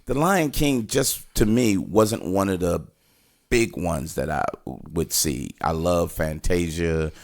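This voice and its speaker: Deep, gravelly man reviewing casually. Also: slow. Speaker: podcast host giving a film review. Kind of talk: casual conversational movie review.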